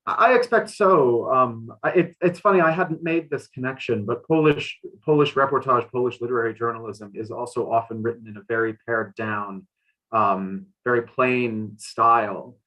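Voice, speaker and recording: A man speaking as a literary translator on a discussion panel, thoughtfully, often halting and repeating a phrase as he goes. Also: medium pitch, bright, forceful tone, poor audio. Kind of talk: panel discussion, interpreting and answering questions.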